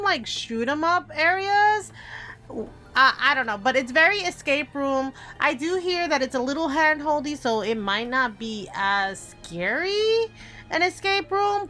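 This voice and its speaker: A woman's voice: high voice, big pitch swings, unhurried, sharp tone, faint music behind. A woman hosting a casual game list video, talking informally and conversationally with offhand asides. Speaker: video game YouTuber, host. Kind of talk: casual top-ten list commentary.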